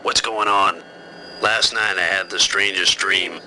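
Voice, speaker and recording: A man's voice: low-pitched, fairly even intonation, measured pace, crowd noise. A man playing an entranced, uneasy starship crewman, musing wistfully in a sci-fi game audio log. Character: uneasy male crewman entranced by a strange dream. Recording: noisy shipboard log with hum.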